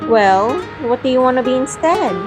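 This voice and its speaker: A woman's voice: high-pitched, animated, normal speed. An actress playing a spirited, affectionate young woman who teases her childhood friend in an audio drama. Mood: playful, excited. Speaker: first-person narrator. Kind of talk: scripted audio drama narration and dialogue. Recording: music bed behind voice. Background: music.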